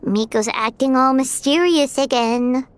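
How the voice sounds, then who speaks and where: A woman's voice: high-pitched, unhurried, a little hiss or hum. A woman voicing a tiny, cheerful, eager childlike fairy companion in a video game, with a perky, nosy manner. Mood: curious. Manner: refers to self in third person, perky. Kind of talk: animated game voice acting.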